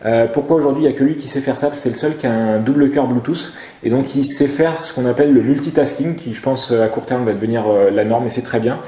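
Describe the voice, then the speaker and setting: A man's voice: medium pitch, fast, hardly any pauses, warm tone, echoey room. A man presenting a question-and-answer show, explaining technical things in an informal way. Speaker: web show presenter and motorcycle gear retailer. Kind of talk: casual explanatory talk.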